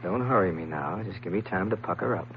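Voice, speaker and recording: A man's voice: deep voice, a little fast, heavy background noise. An American man playing a wisecracking, cynical private eye in an old-time radio drama, with a slangy, sardonic delivery. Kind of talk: old-time radio detective acting and narration. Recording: dull vintage radio recording with music.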